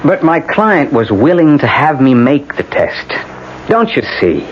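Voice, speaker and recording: An actor playing an insistent defense attorney in a radio drama, speaking in a dramatic, rhetorical, emphatic way. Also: male voice, fairly high pitch, big pitch swings, measured pace. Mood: firm, urgent. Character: theatrical, insistent male defense attorney addressing a court. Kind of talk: 1970s-80s radio drama acting. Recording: dull, hissy old radio broadcast.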